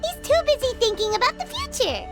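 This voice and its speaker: A tiny fairy girl from a video game, with an extremely high, squeaky childlike voice. She is excitable, cheerful and blunt, swinging wildly in pitch over loud music. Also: brisk. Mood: indignant, upbeat. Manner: refers to self in third person, chirpy, emphatic.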